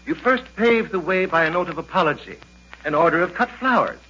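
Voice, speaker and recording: An actor playing a formal, pompous, wordy gentleman giving stern advice in a 1940s radio comedy. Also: high-pitched, expressive, a little fast. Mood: officious. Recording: dull, faintly noisy 1940s radio recording.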